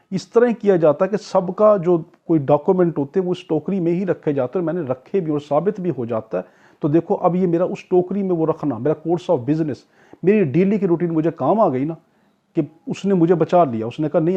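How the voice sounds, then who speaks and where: A man's voice: on the high side, brisk. A man teaching an exam-prep law lecture in a didactic way, repeating key points and answering his own questions. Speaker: law tutor. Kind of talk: explanatory exam-prep lecture with everyday examples. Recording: dull, lo-fi recording.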